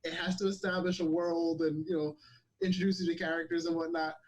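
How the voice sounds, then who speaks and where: A man's voice: fairly high pitch, level tone, a little fast. A man guesting on a podcast over a video call, telling a story in a laid-back way with lots of slang. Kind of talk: casual chat.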